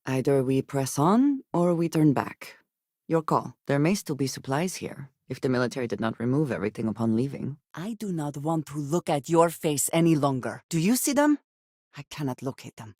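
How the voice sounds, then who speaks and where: An actress playing a guarded, serious woman space smuggler in a video game, speaking in measured, formal sentences with dry reserve. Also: a bass voice, a little mellow, dry recording, heavily acted. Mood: dry, wry, then tense, cold. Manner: measured, formal speech with few contractions. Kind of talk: video game companion dialogue.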